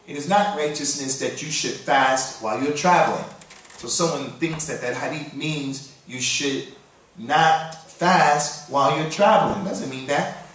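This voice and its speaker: A man from the United States giving a sermon, reciting Arabic verses quickly and then explaining them in English. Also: on the high side. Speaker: Islamic preacher. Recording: very echoey hall, voice from far off.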